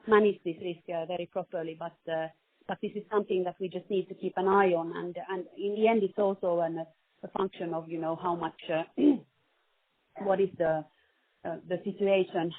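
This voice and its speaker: Over a thin, dry telephone connection, a woman executive answers questions on a business call. Her voice sits a little low. She speaks in a careful, measured way, choosing her words as she goes. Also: no echo. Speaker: company CFO answering analysts on a conference call. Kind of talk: spontaneous business Q&A on an earnings call. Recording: narrowband phone conference line.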